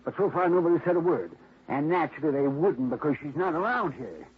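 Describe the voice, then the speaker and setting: A man plays a fussy, excitable dog owner in a 1950s radio drama. His voice sits high and leaps all over. It comes through a thin, phone-like broadcast with faint hiss. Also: quick pace, close to an everyday voice. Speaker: dog owner reporting a theft.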